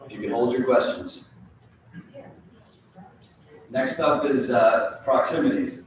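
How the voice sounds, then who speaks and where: A man giving a presentation at a public forum, reading slides in a measured way and asking the audience to hold questions. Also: on the low side, a little hiss or hum. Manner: measured, reads slides and fields interruptions. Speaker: school building committee presenter. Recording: echoey hall, mic from a distance.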